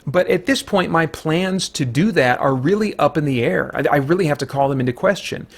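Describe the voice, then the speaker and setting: A middle-aged man hosting a gaming vlog, talking through his opinions conversationally in a measured, careful way. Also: fairly high pitch, lively intonation, slightly rough. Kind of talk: conversational opinion commentary on video games.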